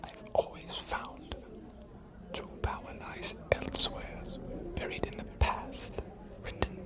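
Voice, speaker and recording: A man playing an ancient, aloof male vampire scholar in an ASMR roleplay, speaking in a formal, musing, courteous whisper. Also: natural voice. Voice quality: breathy whisper. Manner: measured, formal, musing, hesitant pauses.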